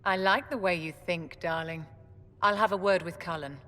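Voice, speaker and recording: An actress playing a haughty, elegant court mage in a video game, measured and condescending. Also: female voice, lowish voice, bright, forceful tone. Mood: cool, commanding. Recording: reverberant hall, faint background noise.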